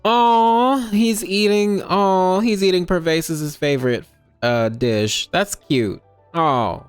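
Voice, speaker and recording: Game streamer: unhurried, smooth voice, wry casual reactions, some swearing, faint music behind. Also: medium pitch, no echo. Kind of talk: casual playthrough commentary.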